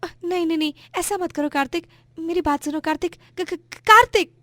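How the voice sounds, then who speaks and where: A woman's voice: very high voice, a little fast, fairly bright tone, dry recording, clean studio sound. A woman voicing an emotional, melodramatic young married woman in a story cartoon. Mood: panicked, regretful. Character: bratty then remorseful young married woman Dimpy. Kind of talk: animated moral-story dramatisation in Hindi.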